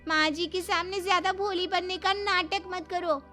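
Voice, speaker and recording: A woman's voice: very high-pitched, fairly even intonation, normal speed, over music. A woman voicing a jealous, quarrelsome young daughter-in-law in a family story, whining and accusing. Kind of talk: dramatised Hindi family story.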